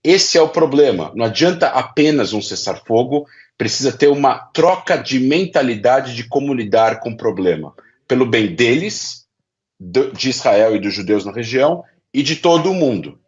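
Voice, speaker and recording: A man's voice: medium pitch, animated, normal speed. Male interview guest, Brazilian accent. Analytical, emphatic explainer who repeats key phrases. Dull, lo-fi sound. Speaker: guest specialist on Middle East affairs.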